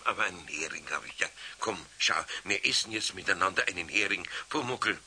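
A man's voice: low voice, fairly quick, heavily acted. An actor playing a good-natured older Bavarian master craftsman in a children's radio play, scolding in a kindly, exasperated way. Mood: soothing, tired. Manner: exasperated but kindly, expressive. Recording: faintly hissy 1970s radio play recording.

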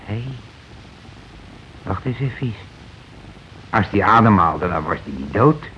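On a dull, slightly noisy old broadcast, a blustering working-class husband speaks in a lowish voice. He has had a drink. He is blunt and colloquial, echoing words back as he tries to catch on. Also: expressive, slow pace. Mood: puzzled, probing. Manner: blunt, colloquial, repeats what he hears. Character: blustering, tipsy working-class husband, union man, gruffly slow-witted. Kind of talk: naturalistic radio play dialogue. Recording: muffled, noisy old radio broadcast recording.

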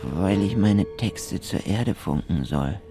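A man's voice: low voice, expressive, takes it slowly, over music, full character voice. A man acting a lovesick, bewildered poet in a radio play, speaking in an intimate, impulsive way. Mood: dazed, resigned.

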